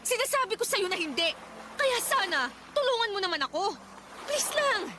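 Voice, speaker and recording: A woman's voice: very high-pitched, sing-song, moderate pace, noisy background. A Filipino woman playing an exasperated, nagging young wife and mother in a radio drama, scolding and sarcastic. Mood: exasperated pleading.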